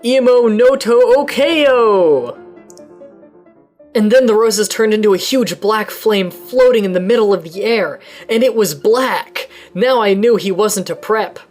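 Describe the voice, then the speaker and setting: A woman giving a comedic dramatic reading of a fanfic in first person, deadpan and mock-dramatic, with deliberate mispronunciations. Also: medium pitch, soft background music. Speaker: dramatic reader.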